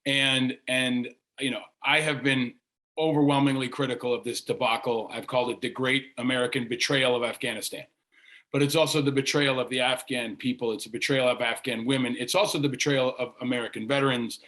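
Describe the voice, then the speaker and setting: A man's voice: medium pitch, fairly even intonation, brisk, fairly bright tone. A man hosting a political interview, speaking insistently and asking long, probing questions. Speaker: interview show host.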